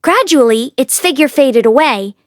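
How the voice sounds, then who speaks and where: High-voiced woman reading a story, dry sound. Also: animated, moderate pace, dry recording. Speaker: audiobook reader. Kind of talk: dramatic scripted storytelling.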